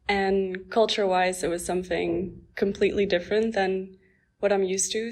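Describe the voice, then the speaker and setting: A woman in her twenties from Belgium, an exchange student giving thoughtful interview answers with frequent ums. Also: medium pitch. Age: 20s.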